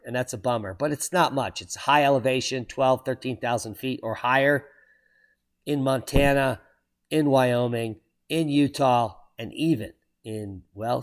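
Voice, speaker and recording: A man hosting his own channel, reading weather and earthquake headlines aloud and breaking off for sardonic asides and sudden exclamations. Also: medium pitch. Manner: exclaims loudly at surprising items. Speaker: channel host reading weather and geology news. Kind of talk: solo commentary reading headlines.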